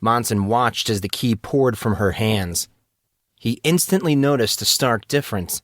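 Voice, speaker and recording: A man narrating his own fantasy serial in a steady, measured storytelling manner. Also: medium pitch, no echo, studio quality. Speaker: author and narrator. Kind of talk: scripted fantasy audiobook narration.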